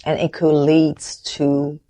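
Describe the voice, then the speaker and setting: Slow, earnest Taiwanese woman. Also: deep voice, monotone, warm tone. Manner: earnest, deliberate, with halting restarts. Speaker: motivational vlogger. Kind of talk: reflective motivational monologue.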